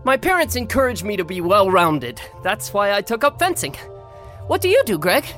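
A man's voice: high-pitched, very animated, normal speed, fairly bright tone, soft background music. An actor playing an earnest, sheltered young American male college freshman in an audio drama, polite, eager and quaintly formal. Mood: eager, friendly. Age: young adult.

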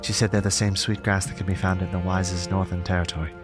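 Actor as a kind, deep-voiced young nobleman. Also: little pitch movement, fast, loud music behind the voice, close to an everyday voice. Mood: courteous, gentle. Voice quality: kind, gentle voice. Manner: polite, formal. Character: kind, courteous young nobleman offering friendship. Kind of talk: fantasy audio drama acting.

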